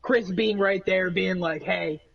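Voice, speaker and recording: A man with a nasal voice, rambling through animated stories in a casual chat among friends. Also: high-pitched, measured pace, gravelly, lo-fi sound. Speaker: podcast co-host.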